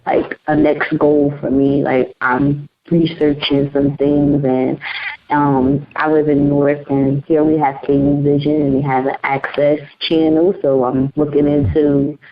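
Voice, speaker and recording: A woman's voice: deep voice, flat delivery, a little slow. A young woman chatting casually as an interview guest, with a lot of "um" and "like" fillers. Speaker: interview guest, radio host and publisher. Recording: dull lo-fi podcast audio.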